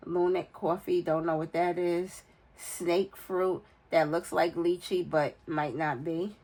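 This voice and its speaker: A woman's voice: deep voice, poor audio. A woman in her forties with a cold and a nasal voice, talking casually and unhurriedly as she fills in budget challenges, counting aloud under her breath. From United States. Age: 40s. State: congested from a cold. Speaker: budgeting vlogger. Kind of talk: casual talk while filling budget challenges.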